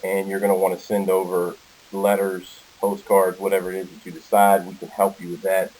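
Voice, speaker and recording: A man's voice: low voice, completely flat delivery, brisk, a little mellow, rough recording. An American man giving an informal sales presentation on a conference call, walking through slides as the presenter. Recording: hissy conference call audio.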